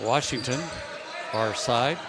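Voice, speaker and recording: A man giving rapid, clipped play-by-play commentary on a basketball game. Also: mid-range voice, very noisy. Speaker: play-by-play announcer.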